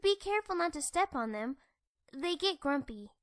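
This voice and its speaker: A woman voicing a kind, whimsical little girl pony, gentle and earnest, in a cartoon-style comic dub. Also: high voice, sing-song, normal speed, no echo, studio quality. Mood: gentle, friendly.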